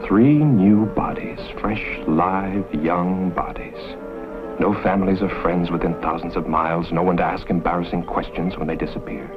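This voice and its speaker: An actor narrating a 1960s horror film in a grave, ominous manner. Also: male voice, low-pitched, moderate pace, natural voice. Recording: narrow-band old film soundtrack with music.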